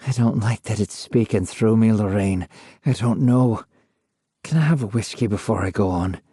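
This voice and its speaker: A man's voice: on the low side, normal speed, warm tone, slightly rough, no echo, studio quality. A man reading aloud and voicing another man in a trance who speaks hesitantly and mumbles. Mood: uneasy. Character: nervous man in a psychic trance.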